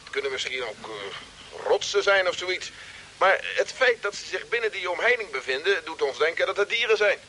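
A dutiful male radio operator in an old space radio play. His voice is high and sharp as he reports formally and precisely to his captain, over light hiss. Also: big pitch swings, normal speed, full character voice. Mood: reporting, puzzled. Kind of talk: 1950s-style radio drama acting.

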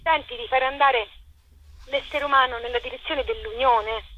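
Female interviewee, stage actress: very bright tone, halting explanatory delivery, dull recording with faint noise. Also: a little high. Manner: thoughtful, halting, searches for words. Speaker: actress and co-author interviewed about her play. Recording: muffled lo-fi phone-like line with noise.

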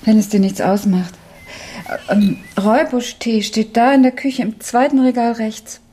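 A woman's voice: on the high side, steady tone, measured pace, dark tone, slight background noise, lightly acted. A woman in her forties playing a frightened, worn-down schoolteacher in a radio crime drama, speaking anxiously and hesitantly. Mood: flustered, hesitant. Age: 40s. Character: distressed, frightened forty-year-old schoolteacher, worn down.

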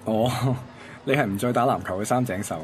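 A man's voice: medium pitch, a little fast, soft background music, natural voice. An actor playing an easygoing, reflective young man from a radio drama, with a Hong Kong accent. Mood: easygoing, coaxing. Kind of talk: radio drama dialogue and narration.

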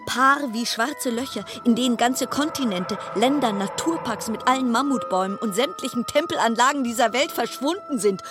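A woman playing a wry, chatty cruise passenger travelling alone, musing with dramatic pauses in a radio play monologue. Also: on the high side, expressive, over music, close to an everyday voice. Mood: wry, exasperated.

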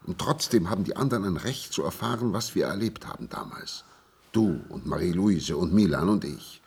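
Ein Schauspieler spielt im Hörspiel einen müden, resignierten Mann, der heiser, zögernd und eindringlich auf einen Gefährten einredet. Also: male voice, low voice, expressive, normal speed, gravelly, darkish tone, slightly rough, heavily acted. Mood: resigned. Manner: hesitant, pleading, intimate. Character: world-weary, resigned man patiently coaxing his silent companion. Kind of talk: German radio play acting.

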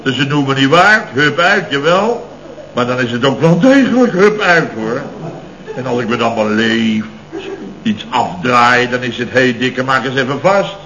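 An actor in a radio comedy playing an indignant, blustering boss of fifty who grumbles and exclaims, repeating himself. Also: male voice, mid-range voice, animated, moderate pace, lightly acted. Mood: scandalised. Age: 50s. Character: blustering, indignant fifty-year-old male building firm boss. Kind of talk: 1970s radio comedy acting. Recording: muffled, noisy old radio recording.

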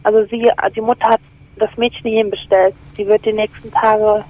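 A twenty-year-old woman calling in to a radio show by phone, talking hesitantly and softly with many fillers. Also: medium pitch, level tone, a little fast. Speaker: caller. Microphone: telephone. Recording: tinny phone line.

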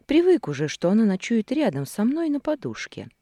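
A woman reading a first-person novel aloud as an audiobook narrator, in a measured, expressive storytelling way with dramatic pauses. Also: a little high, dry recording, studio quality. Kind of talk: first-person fiction narration from a male hero's view.

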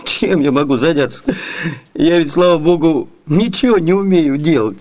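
Radio play role: actor as chatty, scheming, ever-hungry manservant; high male voice, very lively melody, theatrical delivery. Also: moderate pace, lightly acted. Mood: grumbling, plaintive. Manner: sighing, expressive, eager. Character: hungry, wily, chatty comic servant man. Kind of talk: theatrical Soviet radio comedy acting.

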